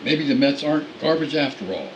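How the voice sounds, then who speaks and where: A man's voice: mid-range voice, expressive, brisk, noisy background, natural voice. A man doing a young 1960s city detective. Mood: cheerful. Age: young adult.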